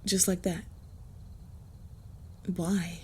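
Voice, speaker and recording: A woman playing a guarded, gentle, possessive vampire in an intimate roleplay audio, soft and hushed, with a hesitant, measured delivery. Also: lowish voice, little pitch movement, exaggerated voice. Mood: reluctant, tender. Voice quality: soft, husky, breathy. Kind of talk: intimate roleplay audio with first-person narration.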